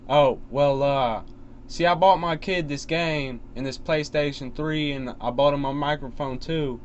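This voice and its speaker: A man's voice: fairly high pitch, measured pace, close to an everyday voice. Man playing an insistent, annoyed clueless dad. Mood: puzzled, insistent. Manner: insistent, repetitive questioning. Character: annoyed, clueless father fretting over his son's game. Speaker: prank caller. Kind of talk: prank phone call, improvised. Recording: dull, lo-fi phone call with faint noise.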